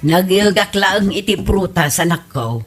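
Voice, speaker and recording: A woman playing an elderly, complaining mother in an amateur comedy audio drama, with a drawn-out delivery. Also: mid-range voice, expressive, soft background music.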